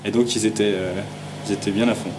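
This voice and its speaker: A man's voice: fairly low pitch, fairly even intonation, fast talker. A man talking casually in an interview as a musician, informal and a bit hesitant. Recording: clearly noisy ambient background.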